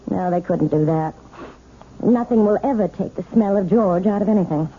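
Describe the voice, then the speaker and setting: An actress playing an outwardly sweet, secretly vengeful housewife in a 1970s radio drama, with a fluttery, coaxing manner. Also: female voice, medium pitch, moderate pace, natural voice. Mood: searching, cooing. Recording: muffled, noisy old radio recording.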